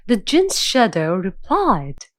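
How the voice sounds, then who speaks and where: A woman narrating a scripted children's fairy tale in a measured, dramatic storytelling manner. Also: medium pitch, wildly swooping pitch.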